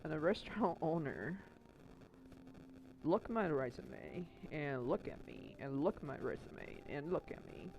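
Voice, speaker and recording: Rambling Taiwanese streamer, Aussie-Canadian accent. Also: male voice, on the high side, very animated, measured pace, darkish tone, highs cut off, a little hiss or hum. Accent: mixed Australian and Canadian. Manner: rambling, many restarts and fillers. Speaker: Twitch streamer. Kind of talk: casual chat while crafting.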